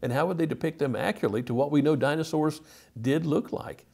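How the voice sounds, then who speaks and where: A man's voice: fairly high pitch, fast talker, gravelly, warm tone, slightly rough. Man in his sixties, lecturing. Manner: emphatic, with rhetorical questions. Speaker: Bible teacher and presenter. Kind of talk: illustrated lecture to camera. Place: indoor studio.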